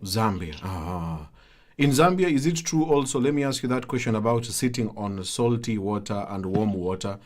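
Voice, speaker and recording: A man's voice: on the low side, expressive, moderate pace, darkish tone. A man hosting a live talk show and talking with guests.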